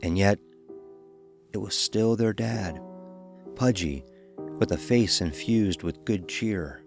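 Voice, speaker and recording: A man's voice: fairly low pitch, slow pace, vocal fry, over music. A man narrating a scripted horror story.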